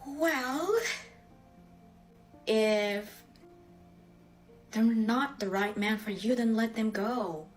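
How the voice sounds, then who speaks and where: A woman's voice: on the high side, extremely slow, pauses often, faint music behind. A Filipino woman with a Filipino accent, English not her first language, arguing with exasperated rhetorical questions. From Philippines. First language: a Philippine language. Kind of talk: ranting video reply.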